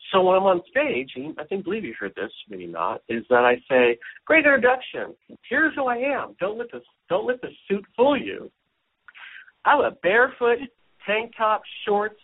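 Animated man on a phone-like line. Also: on the high side, slightly rough. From Hawaii. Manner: animated, chatty, self-deprecating. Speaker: business strategist guest. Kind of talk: casual talk show interview. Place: at home. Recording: phone-like audio.

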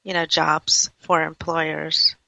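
A woman's voice: sits low, little pitch movement, slow, vocal fry, bright, forceful tone, no room sound. A woman hosting a radio talk show, gushing and rambling as she introduces guests. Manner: effusive, rambling praise. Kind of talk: casual radio interview. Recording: dull, lo-fi radio audio.

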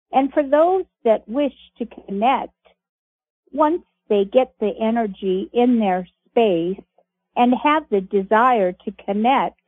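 Woman reader, deliberate and unhurried, slightly husky, muffled telephone sound. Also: medium pitch, no room sound. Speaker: reader of archived messages on a conference call. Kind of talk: reading a transcript aloud. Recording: muffled phone-line audio.

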